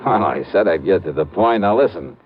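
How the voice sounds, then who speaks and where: An actor playing a shrewd, dry-witted insurance investigator in an old radio drama, speaking in a clipped, wry way. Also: male voice, low-pitched, animated, fairly quick, narrow, tinny audio. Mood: pushy, impatient. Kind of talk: 1940s-50s radio detective acting. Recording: band-limited old radio recording, faint music.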